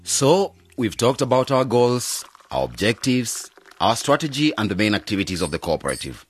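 An actor playing an earnest man who leads a village cooperative in a radio drama, deliberate and consultative. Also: medium pitch, very animated, slightly rough, faint music behind. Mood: formal, deliberate. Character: earnest male agronomist leading a village cooperative.

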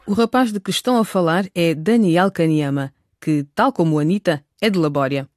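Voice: mid-range voice, moderate pace, darkish tone, no room sound, clean studio sound. A narrator giving a scripted recap and introduction for a radio drama.

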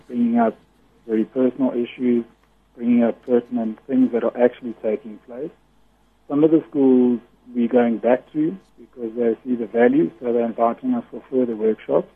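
A man with a naturally loud voice speaks as a guest on a radio interview, explaining his points earnestly and at length. His voice is very husky and comes through a thin, tinny phone line with a narrow band. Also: medium pitch.

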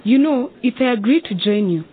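Hesitant schoolgirl singer played by a woman, high-voiced. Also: expressive, brisk, natural voice. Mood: defiant, doubtful. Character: hesitant schoolgirl singer, rival who turns friend. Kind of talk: radio drama acting. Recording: narrow phone-like sound.

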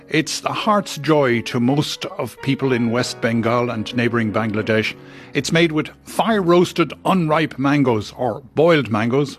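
A male radio co-presenter with a mid-pitched voice reads out listeners' messages and banters lightly. Music plays faintly behind him.

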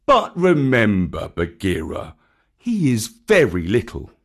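A man voicing a gentle, reluctant bear teacher in a storybook, mumbling and drawing out his words. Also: lowish voice, wildly swooping pitch, creaky, heavily acted. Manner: mumbling, drawn-out. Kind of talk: dramatic storybook character acting.

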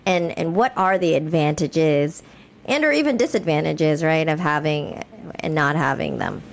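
A woman co-hosting a podcast and casually putting questions to guests in a halting, conversational way. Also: sits low, expressive, vocal fry, slight background noise. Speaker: podcast co-host, interviewer.

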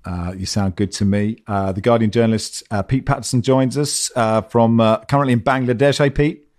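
A man's voice: medium pitch, steady tone, normal speed, a little mellow. A man hosting a football podcast, introducing the panel in an upbeat, chatty way. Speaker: podcast host.

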